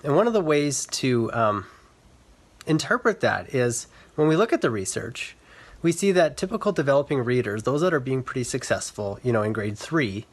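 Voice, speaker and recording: A man with a mid-pitched voice gives an explanatory talk as a reading specialist. He speaks earnestly in measured phrases, addressing online viewers directly. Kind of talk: explanatory talk to camera for social media.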